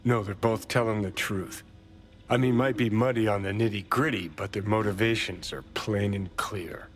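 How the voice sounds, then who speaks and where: A cynical, foul-mouthed ex-soldier rebel from a cinematic video game, played by a man. He speaks bluntly and sardonically in a fairly low voice. A faint noise sits behind him. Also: steady tone, moderate pace, lightly acted. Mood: brooding, cynical.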